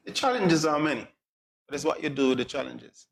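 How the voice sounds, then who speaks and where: A middle-aged man, explaining things as an interview guest in a measured, phrase-by-phrase way. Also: on the high side, animated, vocal fry. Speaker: air traffic controller, interview guest. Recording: echoey room, light background noise.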